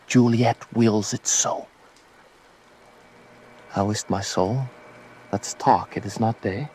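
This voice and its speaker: An actor playing an ardent, fatalistic young lover in a Shakespearean radio drama, with lingering, theatrical verse delivery. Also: male voice, lowish voice, steady tone, slow pace, a little mellow, a little hiss or hum. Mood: devoted, reckless.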